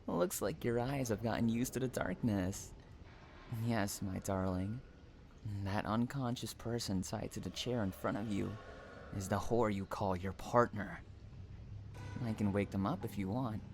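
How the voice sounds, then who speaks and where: Man acting slow-talking obsessive stalker, noisy recording. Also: on the low side, a little mellow. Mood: sweetly threatening. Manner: cooing endearments mixed with threats. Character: obsessive, unhinged male stalker kidnapper, possessive and menacing lover. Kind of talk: dramatic roleplay monologue addressed to the listener.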